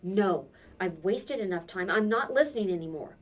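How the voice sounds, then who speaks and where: A woman's voice: mid-range voice, animated, normal speed, distant mic, faint music behind, lightly acted. A woman reading a storybook and voicing an earnest, stubborn boy, speaking with insistent emphasis.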